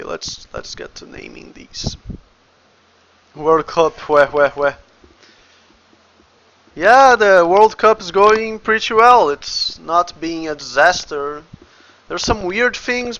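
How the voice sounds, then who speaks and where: A man's voice: high voice, expressive, slow, bright, forceful tone, muffled recording, slight background noise. A Brazilian man with a Brazilian Portuguese accent, a game developer casually talking through his work on a livestream and muttering each step to himself. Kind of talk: casual livestream, thinking aloud while working.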